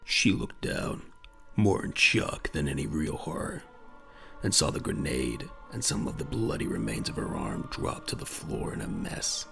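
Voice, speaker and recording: A man with a gravelly voice narrating a dramatic audio drama in a grave, measured storytelling manner. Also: wildly swooping pitch. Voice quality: very deep, gravelly. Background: faint music.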